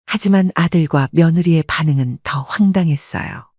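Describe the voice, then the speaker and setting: A woman's voice: deep voice, moderate pace, a little mellow, breathy edge, dry recording, dull audio. A woman playing a wistful widowed grandmother in her late sixties, narrating her life story from a script. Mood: wistful, aggrieved. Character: sorrowful 68-year-old widowed restaurant-owner grandmother.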